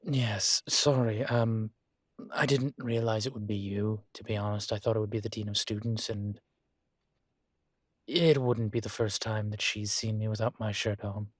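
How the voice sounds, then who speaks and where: A man acting as a composed, courteous college professor in an intimate audio roleplay, speaking with measured, formal politeness and dry wit. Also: fairly low pitch, level tone, no room sound, close to an everyday voice. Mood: embarrassed, apologetic. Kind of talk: intimate scripted one-sided roleplay dialogue.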